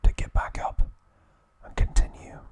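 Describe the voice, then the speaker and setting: Male ASMR narrator, unhurried whisper, soft and steady, relaxed asides. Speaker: ASMR creator.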